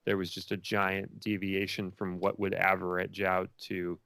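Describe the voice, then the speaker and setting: A man's voice: low-pitched, monotone, a little slow, gravelly, fairly bright tone, no echo. A man answering interview questions as a filmmaker in a rambling, informal way full of filler phrases. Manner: rambling and informal, many likes and you knows.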